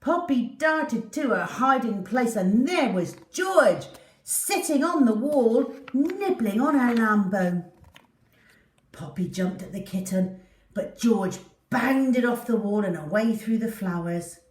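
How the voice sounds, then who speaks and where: A woman narrates a children's storybook with animated drama. Her pace is slow and measured. The room around her voice is audibly echoey. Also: fairly high pitch, distant mic.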